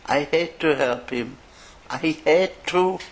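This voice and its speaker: Woman acting creepy sweet mercy killer lady, real slow n cooing, horror audio play, noisy recording. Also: low-pitched. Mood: tender, wistful. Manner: tender, cooing, slow and dreamy. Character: deranged mercy-killing woman, sweet, gently pitying. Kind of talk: audio horror drama monologue.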